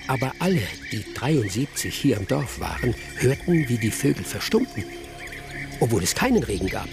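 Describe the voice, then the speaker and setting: A gravelly male storyteller, an actor as a village hunter in a radio play. He recounts events in grave, measured phrases at a middle pitch, with music playing clearly underneath. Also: natural voice. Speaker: narrator. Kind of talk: dramatic first-person radio play narration.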